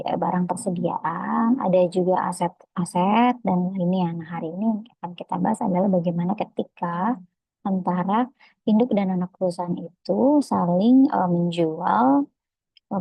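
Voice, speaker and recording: A woman with an Indonesian accent giving a lecture that explains slides, speaking hesitantly with frequent pauses and filler phrases. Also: fairly low pitch, warm tone, husky, dry recording, poor audio. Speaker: accounting lecturer.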